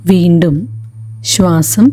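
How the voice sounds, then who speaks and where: A woman leading a guided meditation in a gentle, calm, deliberate way, leaving long pauses between short phrases. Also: lowish voice, rough recording. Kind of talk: slow guided meditation narration.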